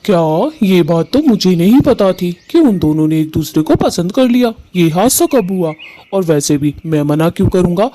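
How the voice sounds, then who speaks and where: A man plays a devoted male bird in a family cartoon. His voice is mellow and soft-edged, pitched a little high for a man, with an earnest, affectionate delivery. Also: lively intonation, normal speed. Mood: surprised. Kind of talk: Hindi family cartoon story acting.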